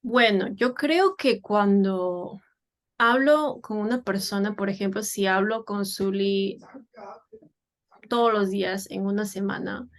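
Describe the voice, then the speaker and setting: Woman guest from Peru, unhurried and halting, mid-range voice, casual interview. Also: mid-range voice. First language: Spanish. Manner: hesitant, many fillers and restarts. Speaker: guest, English teacher for children.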